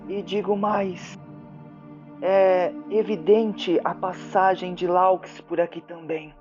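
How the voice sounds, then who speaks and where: A man plays a formal, hesitant medium who talks with spirits in a tabletop fantasy tale. His voice sits high for a man and he speaks slowly and earnestly. The audio is a little dull, with faint music behind. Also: level tone, a little mellow. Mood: solemn.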